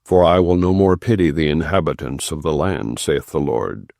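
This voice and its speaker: A man narrating scripture in a solemn, measured, scripted audiobook style, phrase by phrase. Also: extremely low pitch, gravelly, a little mellow, no room sound, clean studio sound. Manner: solemn, measured delivery in short deliberate phrases. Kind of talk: scripted narration, non-dramatized scripture reading.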